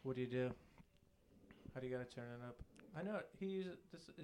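A man's voice: medium pitch, fast, a little mellow, a little hiss or hum. A man who co-founded a brewery, chatting easily as a podcast guest in front of a desk microphone. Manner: easygoing, casual. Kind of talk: casual chat.

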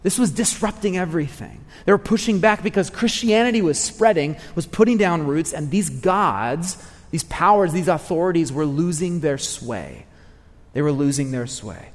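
A man's voice: a little high, lively intonation, moderate pace, big-room sound. A man preaching a sermon, earnest and repeating phrases for emphasis.

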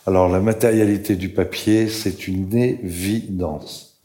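An older man talking as a guest on a panel in an earnest, halting way, with frequent fillers. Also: low voice, fairly even intonation, mellow. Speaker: author guest. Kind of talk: panel conversation.

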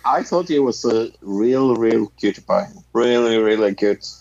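A man chatting casually and haltingly, searching for words, on a dull lo-fi mic. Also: mid-range voice, lively intonation, vocal fry, crowd noise. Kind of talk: casual chat.

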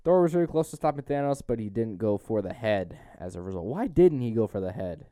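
A young man hosting a podcast, chatting casually through a movie review with animated recaps and informal asides. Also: fairly low pitch, brisk, no room sound, clean studio sound. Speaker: podcast host.

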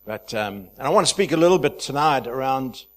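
A man in his fifties from Zimbabwe, a pastor speaking to a church, chatty and halting, with many fillers and restarts. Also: medium pitch, expressive. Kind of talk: sermon introduction.

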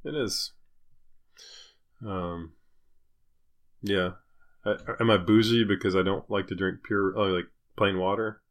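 Low-voiced man in casual, jokey chat. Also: steady tone, slow, pauses often. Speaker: podcast co-host. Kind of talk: casual banter.

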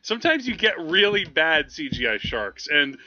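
A man talks casually as a podcast co-host, with a fairly high, lively voice that swings in pitch. His delivery is animated and wanders into tangents. The audio is a little lo-fi. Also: moderate pace, no echo. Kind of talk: casual film chat.

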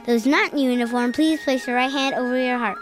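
A woman's voice: high-pitched, steady tone, a little fast. A woman giving a scripted, formal announcement as the leader of a ceremony. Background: music.

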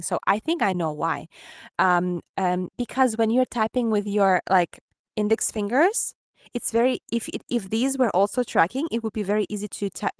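This woman speaks English with a Ukrainian accent, and her voice sits in a middle register. She co-hosts a casual tech podcast, explaining things eagerly with lots of quick restarts. The sound is dry and close. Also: medium pitch, dry recording. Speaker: podcast co-host.

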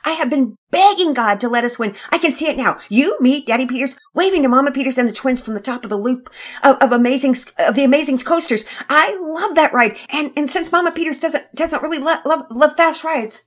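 A woman's voice: high voice, pitched above the performer's own voice, natural voice. A woman doing a breathless, chattering little girl. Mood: excited. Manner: fast, stumbling over words. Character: excitable chattering young girl.

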